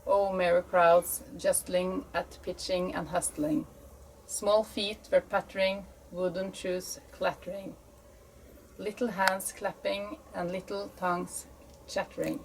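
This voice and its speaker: A woman's voice: lowish voice, flat delivery, takes it slowly. A woman reading rhythmic verse aloud as an amateur volunteer. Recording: faint background noise and ambience.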